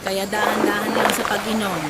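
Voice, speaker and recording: A woman playing a caring middle-aged mother who talks to her two daughters in a gentle, urging way, in a radio-style drama. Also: mid-range voice, monotone, brisk, very noisy, close to an everyday voice. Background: music.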